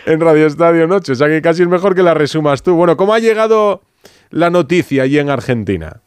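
A man with a Spanish accent hosting a radio sports show from a studio, talking in a relaxed way with asides and small laughs. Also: a little high, fairly quick, clear, resonant tone, no echo. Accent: Spain Spanish. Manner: conversational, reads aloud with asides and small laughs. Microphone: studio microphone.

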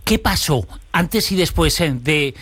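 Higher-pitched Spanish male radio host, halting delivery. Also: expressive, a bit bright, slightly rough, no echo. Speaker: radio host interviewing a guest. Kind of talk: radio interview with atmospheric narrated introduction.

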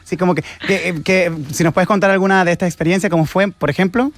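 A man's voice: sits high, steady tone, fast, no room sound, faint music behind. A man co-hosting a chat show, joking and laughing with his guest. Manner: playful, joking, laughs often. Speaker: radio co-host. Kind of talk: casual chat.

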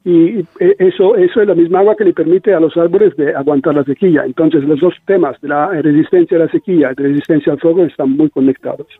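A man's voice: a little high, fairly even intonation, fast talker, hardly any pauses, breathy edge, no echo. A man with an Italian accent, a guest scientist hesitantly explaining research in a radio interview, searching for words. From Italy. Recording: tinny phone-like line.

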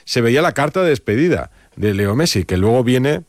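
Emphatic Spanish radio host, dry sound. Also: male voice, medium pitch, big pitch swings, a little fast, dry recording. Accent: Spanish (Spain). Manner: emphatic, opinionated, restates points. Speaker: radio sports show host. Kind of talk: radio sports talk.

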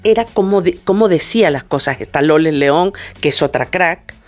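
A middle-aged woman, telling stories conversationally as an interview guest in an effusive, animated way and acting out the dialogue. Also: sits low, normal speed. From Venezuela. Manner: effusive, acts out quoted dialogue in anecdotes. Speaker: guest, singer and vocal coach.

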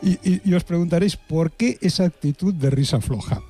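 A man's voice: a little high, a little fast, dark tone, slightly rough. A middle-aged or older man with an accent from Spain, giving a humorous, conversational monologue as a radio contributor, wry and rambling, often repeating words.

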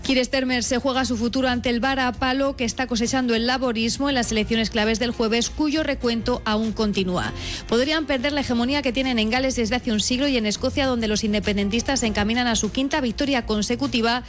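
A woman reports from abroad in a fairly high, formal voice. Quiet music runs beneath. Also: fairly even intonation, fairly quick. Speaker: foreign correspondent. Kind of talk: news report.

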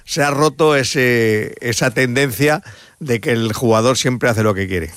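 A man's voice: mid-range voice, a little slow, fairly bright tone, no room sound. Casual male pundit, dry sound. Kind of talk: sports radio debate.

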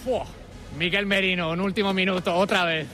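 A man's voice: sits high, steady tone, fairly quick, pushed and bright. A man from Granada, a football fan chatting casually and jokingly in a street interview. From Granada, Spain. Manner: joking, colloquial. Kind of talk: casual vox pop chat. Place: outside a stadium.

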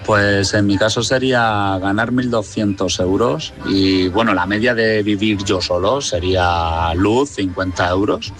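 A man's voice: fairly low pitch, unhurried, few pauses, soft background music. A man leaving a voice message, methodically listing his monthly costs. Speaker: listener.

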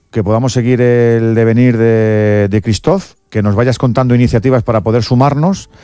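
A man's voice: fairly low pitch, warm tone. A man from hosting live sports radio, talking earnestly and emphatically with frequent restarts.

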